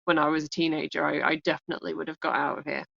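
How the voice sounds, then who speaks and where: A woman's voice: low voice, little pitch movement, racing, creaky, fairly bright tone, dry recording, lo-fi sound. A woman author talking candidly in an interview, rambling, restarting her sentences and laughing often. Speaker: author guest.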